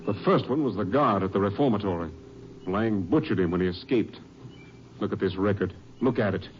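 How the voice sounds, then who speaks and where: An actor playing a grim, determined Texas Ranger captain in an old radio crime drama, stern and commanding. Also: male voice, on the low side, brisk, natural voice. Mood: stern resolve. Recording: muffled, hissy old radio recording.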